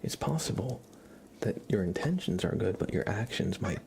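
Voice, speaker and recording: A man hosting a casual ASMR livestream, chatting and reading cards in a rambling, soft-spoken way that drifts into whispering. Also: fairly low pitch, animated, moderate pace. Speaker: livestream host. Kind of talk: casual live ASMR chat with tarot card readings. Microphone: headset. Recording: clear background noise.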